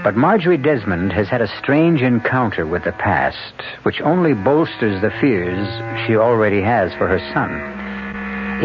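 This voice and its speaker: A man hosting a radio mystery series, giving scripted suspense narration in a measured, portentous manner. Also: on the low side, noisy background. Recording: dull 1970s radio broadcast, music behind.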